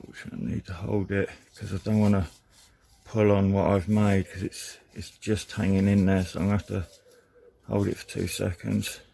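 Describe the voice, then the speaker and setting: A man with a croaky voice casually narrating a car repair job, chatty and self-deprecating, calling his viewers lovely people. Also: low-pitched, level tone, fairly quick, dark tone. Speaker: DIY car-repair vlogger.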